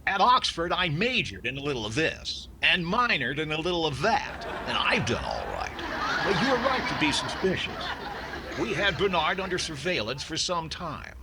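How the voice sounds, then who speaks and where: A man's voice: on the high side, huge pitch swings, normal speed, over a noisy crowd. A man playing a pompous, droll police inspector in an old-time radio comedy, on a noisy recording. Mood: smug, droll.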